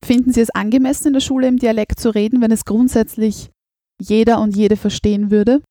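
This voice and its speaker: A woman's voice: a little high, steady tone, fairly quick, warm tone, no echo. A woman interviewer with an Austrian accent, asking formal and polite questions.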